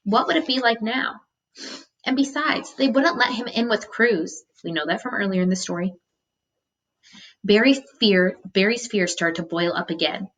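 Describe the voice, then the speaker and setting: A woman with a mid-pitched voice reads a story aloud as a teacher would. She narrates expressively and adds instructive asides for her listeners. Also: animated, moderate pace, fairly bright tone.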